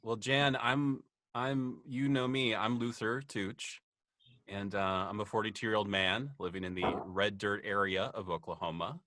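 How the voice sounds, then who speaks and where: A man's voice: fairly low pitch, fairly even intonation, measured pace, sharp tone, dry recording. A man playing a self-assured 42-year-old body-language expert in an improv sketch, hesitating often between points. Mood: know-it-all.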